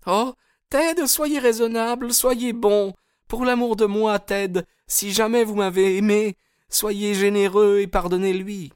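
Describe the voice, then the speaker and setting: A man voicing an anxious, gentle young woman who pleads in distress in an audiobook story. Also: high-pitched, steady tone, a little slow, smooth, dry recording, clean studio sound, voice pushed up. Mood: pleading.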